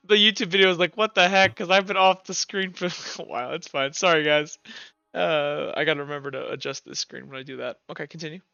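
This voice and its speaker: A man's voice: a little high, brisk, creaky, pushed and bright, clean tone, dry recording, dull audio. A young man narrating as game master of a tabletop roleplaying game, in a loose, rambling way. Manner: loose and rambling, many fillers.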